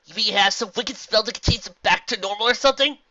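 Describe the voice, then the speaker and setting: A woman voicing a brash, impatient young female flying pony, blunt and excitable. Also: mid-range voice, fast, dry recording, dull audio, voice pushed up, close to an everyday voice. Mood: eager.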